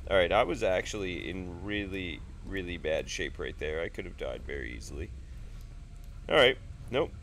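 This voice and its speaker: A congested man in his thirties talks his way through a video game at his desk, his voice thick and nasal from a sinus cold. He rambles, jokes and curses casually in a lowish register. A faint hiss sits under the recording. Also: pushed and bright. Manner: rambling, jokey, swears freely. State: sick with stuffy sinuses, nasal. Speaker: gaming YouTuber. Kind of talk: casual let's play commentary. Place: home room at a desk.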